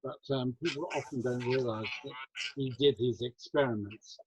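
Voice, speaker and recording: An older man from England talks in a casual, anecdotal way at a middling pitch. He is on a video call, with clear noise behind his voice. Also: steady tone, normal speed. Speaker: actor. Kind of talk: casual chat on an online workshop. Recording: noisy home video call.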